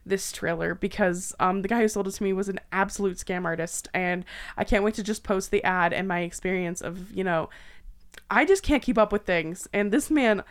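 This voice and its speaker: A woman hosting a podcast in a casual, animated, self-deprecating way with salty language. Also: medium pitch, moderate pace. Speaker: podcast host. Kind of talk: casual chatty podcast banter.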